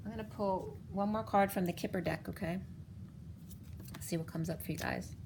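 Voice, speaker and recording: A woman reading tarot cards for viewers in a casual, rambling way, her voice going hoarse. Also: mid-range voice, fairly even intonation, noisy background. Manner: rambling, halting restarts. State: losing her voice. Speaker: tarot reader. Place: home room, table of cards. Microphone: camera on the cards, speaker off screen.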